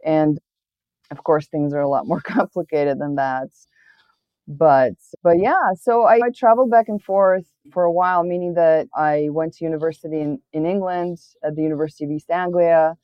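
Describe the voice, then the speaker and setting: A woman's voice: low-pitched, normal speed, no echo, dull audio. A woman from Bulgaria whose first language is Bulgarian, speaking as a translator in an interview in a reflective, thoughtful way with frequent restarts. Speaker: interview guest, literary translator. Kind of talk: conversational interview.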